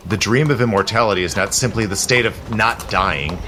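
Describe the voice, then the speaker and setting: An actor playing a suave, zealous immortal gentleman in a period audio drama, with a smooth, persuasive, formal manner. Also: lowish voice, brisk, noisy background, lightly acted. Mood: impassioned. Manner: smooth, persuasive, theatrical, genteel formal phrasing.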